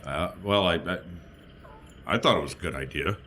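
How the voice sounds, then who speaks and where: A man's voice: low-pitched, normal speed, sharp tone, a little hiss or hum. A man improvising casually as a tabletop role-playing player, hesitant and a bit sheepish.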